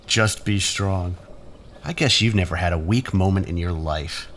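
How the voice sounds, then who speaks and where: A man's voice: sits low, measured pace, fairly bright tone. A man acting in an audio drama as an exhausted, agitated man in withdrawal, stammering and sighing, pleading and bitter. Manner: stammering, sighing, self-pitying. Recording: faint background noise.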